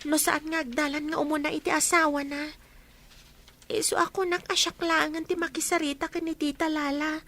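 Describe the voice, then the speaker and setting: A woman's voice: sits high, little pitch movement, brisk, a bit bright. An actress playing a young woman student in a radio drama, pleading and hurt. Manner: pleading, tearful. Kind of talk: Ilocano radio drama acting.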